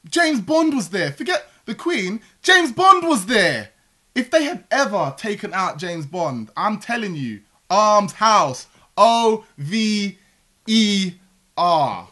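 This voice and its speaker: A young man co-hosting a casual chat about religion in the news. His delivery is playful and full of asides, with a lively, swooping pitch and a sharp, bright tone. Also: slow. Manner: playful, jokey, animated storytelling. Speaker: co-host.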